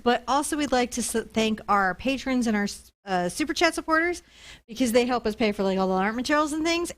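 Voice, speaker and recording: A woman's voice: on the high side, a bit bright. A woman chatting casually and playfully as the host of an art tutorial livestream, thanking supporters.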